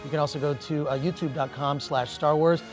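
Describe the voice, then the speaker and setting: A man's voice: on the high side, fairly even intonation, fairly quick, soft background music. A man working as a show host, interviewing guests in an upbeat style.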